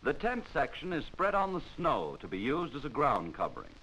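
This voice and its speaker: An American man giving formal, measured, scripted narration for a 1940s instructional training film, on a vintage film soundtrack. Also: mid-range voice, wildly swooping pitch, sharp tone, husky, rough recording.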